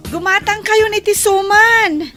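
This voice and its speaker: A woman's voice: very high voice, a little slow, over music. An actress playing a good-natured young woman who sells homemade rice cakes in an audio drama. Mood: friendly. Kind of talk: audio drama acting in casual dialogue.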